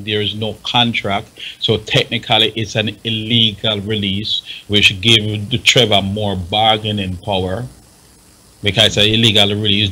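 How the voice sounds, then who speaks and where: Forceful, husky Jamaican man giving advice. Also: lowish voice, low-quality recording. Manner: emphatic, repeats himself for stress. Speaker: radio panelist advising on music rights. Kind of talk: panel discussion, explaining and advising.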